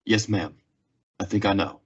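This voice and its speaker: A man acts out a steady, principled U.S. marshal in a radio western. His voice sits low and rough-edged, with restrained, polite, economical delivery. The recording is muffled and lo-fi. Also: normal speed. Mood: courteous, gentle. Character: weary, steady male frontier U.S. marshal, courteous, principled. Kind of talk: old-time radio western acting. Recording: dull lo-fi radio drama recording.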